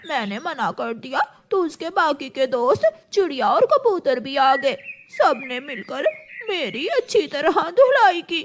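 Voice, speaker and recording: A woman's voice: high-pitched, fairly bright tone, a little hiss or hum, heavily acted. A woman voicing a quarrelsome, sly female crow in a children's cartoon, defiant and given to whining. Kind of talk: children's cartoon story dub.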